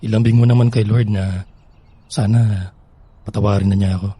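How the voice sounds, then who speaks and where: An actor with a Filipino accent playing a grieving, guilt-ridden young husband pleading in an emotional radio drama. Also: male voice, on the low side, steady tone, normal speed, warm tone, breathy edge, no echo. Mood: sorrowful, apologetic.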